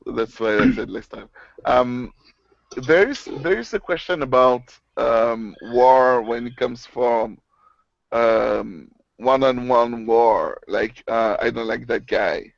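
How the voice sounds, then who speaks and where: A man's voice: medium pitch, slow, vocal fry, dry recording. A man chatting casually on a video call, loose and restarting, laughing easily. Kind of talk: casual chat. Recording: dry dull online call audio.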